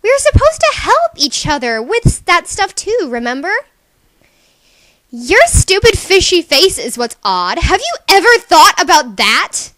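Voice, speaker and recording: A woman's voice: very high voice, wildly swooping pitch, a little slow, bright, forceful tone, no echo, exaggerated voice. A woman voicing a bubbly young alien sea girl who scolds and teases emphatically, in a character reel for a webcomic. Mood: teasing, indignant. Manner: exclamatory, teasing, emphatic.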